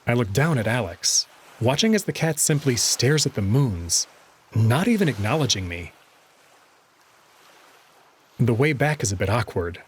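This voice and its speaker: A man narrating and commenting on a visual novel in an expressive storytelling style. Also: medium pitch, unhurried, pauses often, slight background noise. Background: music under the voice.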